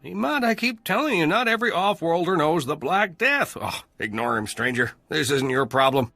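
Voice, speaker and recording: Breezy cynical junk trader from a video game, bright highish male voice, chatty and wry. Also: sing-song, normal speed, creaky, no echo, faint music behind, heavily acted. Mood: dismissive. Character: breezy, cynical male human salvage dealer. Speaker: salvage trader character.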